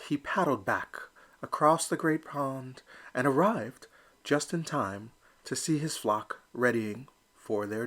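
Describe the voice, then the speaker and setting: A man reading a children's picture book aloud with slow, expressive storytelling. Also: mid-range voice. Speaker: storybook reader. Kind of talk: children's read-aloud narration.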